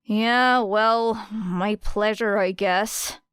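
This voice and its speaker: Woman doing dry awkward teen girl, reluctant, slow and understated, audiobook. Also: medium pitch, fairly even intonation, a bit bright, clear, resonant tone, no room sound. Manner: muttering, halting, understated. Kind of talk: audiobook character voice.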